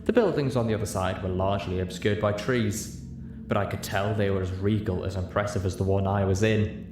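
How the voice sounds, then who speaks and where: A man reading a story aloud as narrator, in an expressive scripted style. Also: on the low side, brisk. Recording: roomy, clearly noisy home recording.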